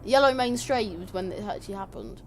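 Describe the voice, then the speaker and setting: A woman commentating a live sim racing stream. She rambles quickly in casual chat and breaks into excited shouting at crashes. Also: mid-range voice. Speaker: livestream race commentator. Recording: steady background noise and hum.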